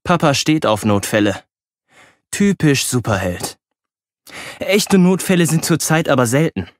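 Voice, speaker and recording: A man's voice: a little high, very animated, unhurried, no room sound, studio quality. A man reading a children's audiobook expressively, telling it wryly from a boy's point of view. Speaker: audiobook narrator. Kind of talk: expressive children's audiobook narration as a boy narrator.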